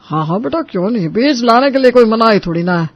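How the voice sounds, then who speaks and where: Male actor as elderly sasur: very high voice, stubborn yet gullible, emphatic, cartoon storytelling, slightly muffled audio. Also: animated, fast talker, dry recording, heavily acted. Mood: curious, trusting. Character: stubborn, gullible old father-in-law of farming family. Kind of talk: animated Hindi moral story dialogue.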